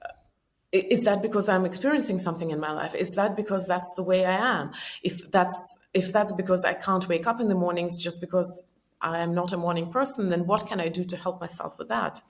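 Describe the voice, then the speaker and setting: A woman's voice: fairly low pitch, fairly even intonation, fairly quick. Earnest woman, native Estonian and Russian speaker. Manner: earnest, reflective, coaching tone. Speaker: podcast guest. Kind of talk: reflective conversational explanation.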